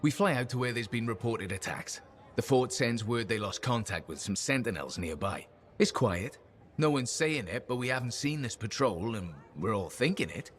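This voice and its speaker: Man playing chummy mid-pitched freelancer buddy. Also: lively intonation, moderate pace, a little hiss or hum, close to an everyday voice. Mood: storytelling. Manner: chummy, storytelling. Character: upbeat, chummy male freelancer storyteller. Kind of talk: video game dialogue acting.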